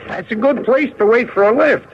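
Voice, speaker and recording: An actor in a radio drama playing a friendly, folksy American truck driver with colloquial, streetwise talk. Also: male voice, extremely high pitch, fairly quick. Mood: kindly, jovial. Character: friendly, folksy working-class truck driver, generous and chatty. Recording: dull, faintly noisy radio broadcast.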